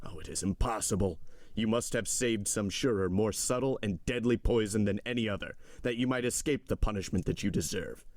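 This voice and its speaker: A man's voice: lowish voice, fairly quick, darkish tone, dry recording, close to an everyday voice. A man voicing a stern, imperious magistrate in an audiobook drama, coldly accusing his wife with harsh, contemptuous command. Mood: contemptuous, rising anger. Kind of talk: dramatic audiobook character reading.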